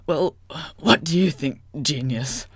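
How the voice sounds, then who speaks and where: A man as a cocky, slow-talking puppet brawler. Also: on the high side, breathy edge, no room sound, clean studio sound, exaggerated voice. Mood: wounded, bitter. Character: cocky, sarcastic, quarrelsome young sock puppet brawler.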